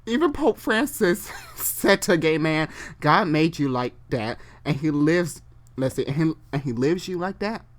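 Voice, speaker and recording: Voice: medium pitch, animated, unhurried. A person doing a comedic dramatic reading of amateur online fiction, theatrical and unfiltered, breaking off into casual asides and re-reading stumbled phrases. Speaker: reader and host.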